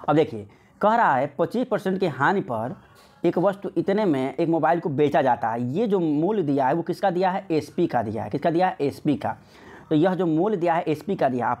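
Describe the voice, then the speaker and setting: A man's voice: fairly high pitch, a little mellow. Man teaching maths, repeating himself for emphasis. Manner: repetitive, asks questions and answers them himself. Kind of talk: informal exam-prep lesson, explaining step by step.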